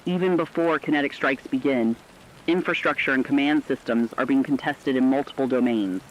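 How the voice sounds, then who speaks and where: A man's voice: medium pitch, fairly quick, dull audio, a little hiss or hum, close to an everyday voice. A man playing a serious radio war analyst, giving formal, sober and emphatic commentary in a scripted emergency broadcast. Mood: sober, warning. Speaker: news analyst.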